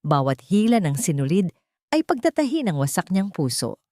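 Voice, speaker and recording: Filipino lady narrating a drama story, lowish mellow voice, super dry sound. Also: very animated, normal speed, no echo.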